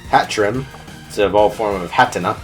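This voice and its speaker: A man's voice: lowish voice, fairly quick, faint music behind. A man hosting a gaming video with casual, chatty and playful gameplay commentary.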